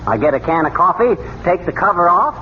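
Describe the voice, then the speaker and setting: An actor playing a blustery, good-natured, scheming small-town husband who wisecracks in folksy fashion in 1930s radio comedy. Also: male voice, sits high, big pitch swings, brisk. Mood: wisecracking. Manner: folksy, muttering to himself, wisecracking. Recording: very noisy, muffled 1930s radio broadcast.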